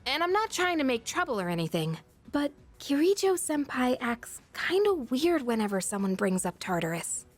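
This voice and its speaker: Game role: frank, probing schoolgirl, very high voice, casual expressive delivery, faint music underneath. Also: normal speed. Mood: suspicious, insistent. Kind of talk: English video game voice acting.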